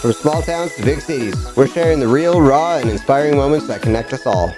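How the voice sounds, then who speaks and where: A man's voice: mid-range voice, a little fast, music in the background. A man hosting a podcast, reading a scripted intro and then chatting in an upbeat, encouraging way. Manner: upbeat, encouraging, laughs often. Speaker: podcast host.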